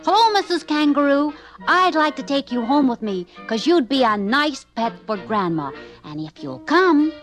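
A woman's voice: high voice, big pitch swings, normal speed, soft background music, voice pushed up. A woman voicing an eager, polite five-year-old boy in a children's story, earnest and exclamatory. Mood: eager, hopeful.

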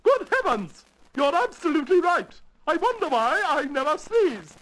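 A man's voice: very high voice, sing-song, moderate pace, fairly bright tone, pitched above the performer's own voice, heavily acted, rough recording. A man voicing a hysterical, imperious storybook Queen in a grandly dramatic manner, with music behind. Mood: astonished. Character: hysterical, imperious storybook Queen, adult woman, easily frightened.

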